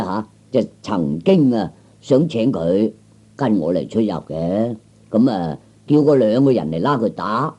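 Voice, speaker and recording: A man's voice: lowish voice, dark tone, husky, heavily acted. A man playing a genial, courteous gang boss in a Cantonese comic radio play, drawing out his words.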